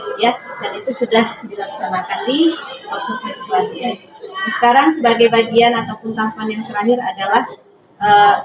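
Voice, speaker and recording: A woman speaks in a fairly high voice, guiding a coaching conversation politely. She sounds distant from the microphone, with loud noise around her. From Indonesia. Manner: polite, checks for agreement often. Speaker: teacher leading a coaching session. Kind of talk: semi-formal guided interview. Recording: roomy, distant mic, loud background noise.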